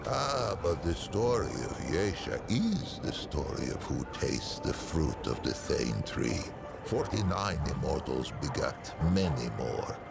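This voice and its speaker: Ancient, gravelly, bottomless-voiced storyteller creature. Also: male voice, very animated, exaggerated voice. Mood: grave, wry. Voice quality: very deep, gravelly. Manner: slow, stately, archaic storytelling with deliberate pauses. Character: ancient, learned non-human storyteller, male, wry and solemn. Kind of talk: scripted video game lore narration. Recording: music behind the voice. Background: prominent background music.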